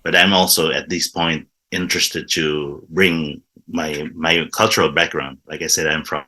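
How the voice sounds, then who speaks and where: This is a man with a very low, deep voice that still sounds bright and forceful. He explains eagerly in a casual interview, often restarting his words as he goes. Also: level tone, measured pace. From Indonesia. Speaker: improv teacher, guest.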